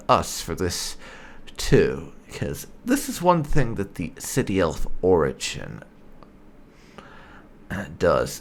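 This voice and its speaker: A man's voice: low voice, a little hiss or hum. A man giving casual roleplay commentary over a video game he is hosting. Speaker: gaming streamer, host.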